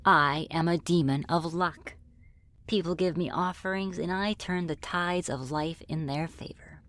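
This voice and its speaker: Woman as female demon, fairly low voice, friendly, teasing, casual, politely menacing, ASMR roleplay. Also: steady tone, unhurried, a bit bright. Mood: amused, reassuring. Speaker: roleplay voice performer. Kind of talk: ASMR roleplay, scripted one-sided dialogue.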